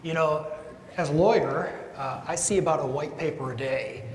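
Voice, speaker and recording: A man who works as a lawyer, speaking wryly and conversationally on a panel. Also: normal speed. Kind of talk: panel discussion. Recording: echoey hall, long reverb, faint rumble.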